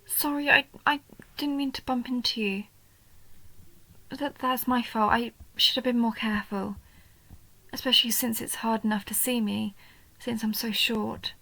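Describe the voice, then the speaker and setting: Woman playing a slow, bashful young goblin girl. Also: on the high side, fairly even intonation, no echo, exaggerated voice, poor audio. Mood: embarrassed, apologetic. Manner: hesitant, bashful, gentle, breathy half-whispers. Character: shy, sweet, nerdy young goblin girl on a date. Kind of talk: roleplay audio, soft intimate story acting.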